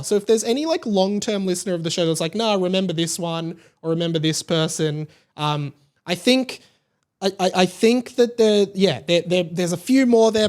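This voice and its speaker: Higher-pitched Australian man, casual podcast host. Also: darkish tone. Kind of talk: casual solo podcast talk.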